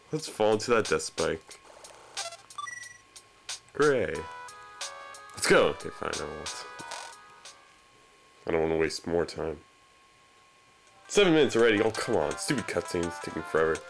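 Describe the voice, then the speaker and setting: A man's voice: deep voice, sing-song, unhurried, vocal fry, long pauses, poor audio. A man giving casual, improvised video game commentary in a sarcastic, irreverent way, with swearing. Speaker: let's play gamer. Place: very dark room, filming a screen. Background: video game music.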